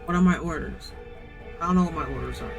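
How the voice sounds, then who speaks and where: High-voiced man streaming a game. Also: quick pace, vocal fry. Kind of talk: casual live gameplay commentary. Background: faint game music.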